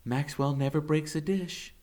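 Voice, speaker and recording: A man's voice: on the high side, steady tone, a little slow, darkish tone, pitched above the performer's own voice, close to an everyday voice. A man voicing a kindly, older grandmother who speaks approvingly.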